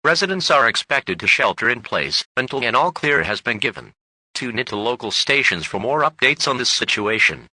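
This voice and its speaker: A man's voice: medium pitch, big pitch swings, normal speed, sharp tone, no echo, rough recording. A synthetic-sounding male announcer reading a formal, scripted emergency alert.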